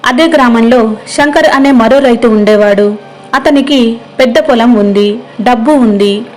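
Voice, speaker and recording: A woman's voice: on the high side, a little slow, darkish tone, low-quality recording. A woman storyteller reading a scripted moral tale as narrator.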